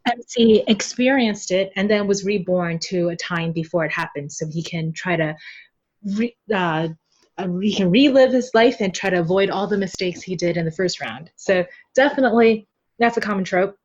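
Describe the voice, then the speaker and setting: A woman's voice: mid-range voice, normal speed, lo-fi sound. A woman guest on a podcast, a translator talking casually about a novel she works on, often laughing and dotting her talk with fillers.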